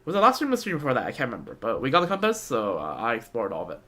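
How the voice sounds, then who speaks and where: A man doing casual live game commentary as a streamer, rambling and halting as he repeats words. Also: medium pitch, wildly swooping pitch, pushed and bright. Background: game music.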